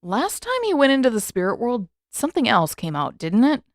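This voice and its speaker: A woman's voice: fairly high pitch, huge pitch swings, fairly quick, no echo. A brash, outspoken teenage boy read by a woman, worried and pointed. The delivery is emphatic, in a dry storybook recording. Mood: urgent, worried.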